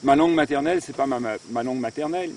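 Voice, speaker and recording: Man, religious, reflective interview; mellow timbre, faint hiss behind, echoey room. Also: mid-range voice, rapid-fire. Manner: earnest and searching, thinks aloud. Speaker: member of a religious order. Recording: echoey room, mic at a distance.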